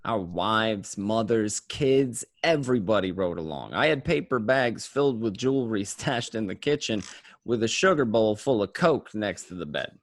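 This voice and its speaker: A man playing a weary mobster turned informant, telling his story in a conversational, streetwise, halting way. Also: fairly low pitch, lively intonation, no room sound, studio quality. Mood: wistful, boastful. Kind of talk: first-person crime narration, acted table read.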